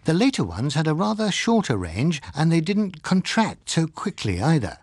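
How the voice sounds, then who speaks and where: A man's voice: fairly high pitch, huge pitch swings, moderate pace, a little mellow, dry recording, clean studio sound, pitched above the performer's own voice, exaggerated voice. A man voicing a modest, practical male colleague in an audiobook, tentative and matter-of-fact. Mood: hesitant. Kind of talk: dramatised audiobook reading.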